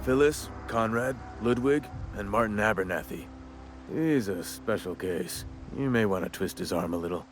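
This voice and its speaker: A man's voice: on the low side, animated, moderate pace, soft background music, close to an everyday voice. A man playing a chatty, shrewd cemetery worker in a video game, with a wry, folksy drawl. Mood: sly, businesslike. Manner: colloquial drawl, wry and talkative. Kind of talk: video game dialogue acting.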